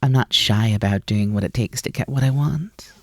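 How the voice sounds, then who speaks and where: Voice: mid-range voice, normal speed, no echo, clean studio sound, lightly acted. A performer in an ASMR roleplay voicing a mischievous, teasing naga snake-demon roommate who coaxes playfully and likes to be in charge. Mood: mischievous, scheming.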